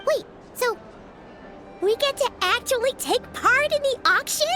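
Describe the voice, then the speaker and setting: A woman voicing a tiny, excitable, childlike fairy companion in a video game, with a squeaky, perky delivery. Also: measured pace, soft background music, heavily acted. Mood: curious, eager. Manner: perky, refers to herself in third person.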